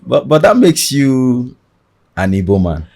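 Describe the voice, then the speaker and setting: A man with a Nigerian accent hosting a studio podcast interview, with a formal, deliberate presenter delivery. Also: mid-range voice, big pitch swings, creaky, a little mellow. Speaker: podcast host.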